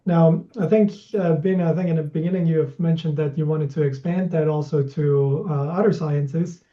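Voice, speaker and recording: A man asking a long, measured interview question, hedging often with "kind of". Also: a little high, fairly even intonation. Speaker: interviewer, audience member. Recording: dull lo-fi audio.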